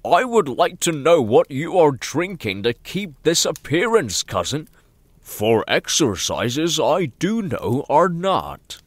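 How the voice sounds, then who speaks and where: Slow, jesting old hobbit patriarch played by a man. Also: on the high side, big pitch swings, dry recording, full character voice. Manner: slow, drawn-out, emphatic delivery. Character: tired old hobbit patriarch, jesting, shrewd, plain-spoken. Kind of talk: audio drama acting.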